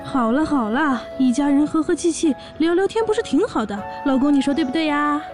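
An excitable young orc wife acted by a woman speaks in a high voice, full of admiration, half narrating and half chatting. Music plays clearly behind this fantasy audio drama. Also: a little fast. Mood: chatty, coaxing.

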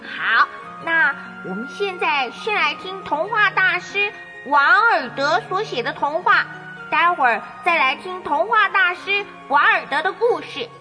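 A woman's voice: extremely high pitch, expressive, a little slow. A woman presenting a scripted children's story programme as its host. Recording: long reverb, music behind.

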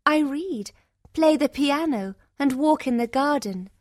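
Young fairy-tale daughter, read by a woman: gentle, earnest, expressive, pitched well up high. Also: measured pace, no echo. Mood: happy, proud.

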